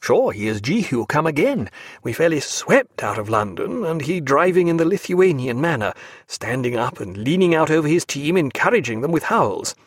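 A rueful ship's doctor tells his tale in an earnest, apologetic way, as a male reader voices him. His voice rises and falls with lively swings. The recording is dry and close. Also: fairly high pitch, moderate pace, husky, no echo. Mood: recounting. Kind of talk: audiobook character voice.

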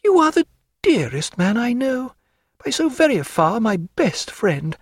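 A man's voice: extremely high pitch, big pitch swings, a little slow, breathy edge, no room sound, clean studio sound, pitched above the performer's own voice, exaggerated voice. A man reading a spirited, proud young woman in an audiobook, fiery and theatrical in manner, swinging from bitter to tender.

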